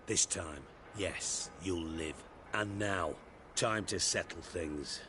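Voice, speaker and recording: An actor playing a proud, menacing spymaster in a video game, with a wordy, theatrical, sarcastic manner. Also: male voice, low-pitched, slow pace, bright, forceful tone, faint music behind, heavily acted. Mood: cool menace. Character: sardonic, menacing male spymaster, cultured and proud.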